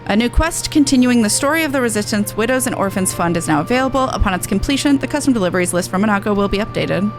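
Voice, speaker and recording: A woman's voice: medium pitch, animated, fast, few pauses, soft background music. A woman streaming a video game, chatting casually and reading quest text aloud.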